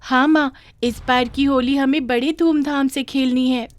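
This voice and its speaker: Woman playing an earnest, pleading young village girl, high voice. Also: steady tone, a little slow, no echo. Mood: hopeful, pleading. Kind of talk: Hindi moral story cartoon voice acting.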